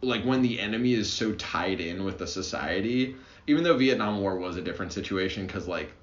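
A man with a lowish voice rambles through a casual history chat, swearing now and then and restarting his sentences, his pitch swinging up and down. The sound is roomy and dull, like a lo-fi home setup. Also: lively intonation, brisk. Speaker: podcast co-host.

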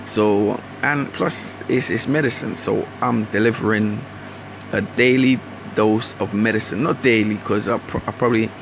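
A man with a London accent that still carries Caribbean traces rambles through a solo podcast intro in loose, slangy talk. His low voice has a gravelly fry. An air-conditioner hum and steady noise sit under the recording. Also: moderate pace. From Guyana. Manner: rambling, slangy, restarts often. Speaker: podcast host. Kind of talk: casual solo monologue.

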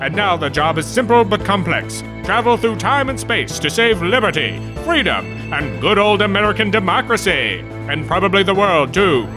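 An actor playing a pompous, dramatic announcer of a 1940s-style radio serial, with bombastic, emphatic delivery. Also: male voice, sits high, big pitch swings, moderate pace, hardly any pauses. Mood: grave, dramatic. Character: grandiose bombastic male announcer of a retro serial. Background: music.

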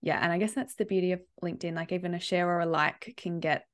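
A woman's voice: lowish voice, fast talker. A woman hosting a podcast in a casual, agreeable chat, laughing often. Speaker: podcast host.

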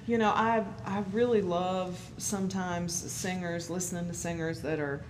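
A woman's voice: lowish voice, steady tone, normal speed. A woman who sings, talking casually and agreeably in conversation, with short affirmations along the way. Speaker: singer and musician. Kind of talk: casual conversation about singing. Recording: clearly noisy background ambience.

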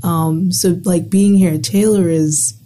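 A woman's voice: lowish voice, steady tone, unhurried, warm tone, faint music behind. A young woman from the Bahamas, a college student guest, talking casually and filling her speech with "like". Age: young adult. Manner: chatty, heavy use of "like". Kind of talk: casual conversation.

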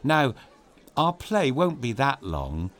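A man's voice: medium pitch, very animated, takes it slowly, a bit bright. A man hosting a children's story show, reading a script in a playful, theatrical and explanatory way. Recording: clear music behind voice.

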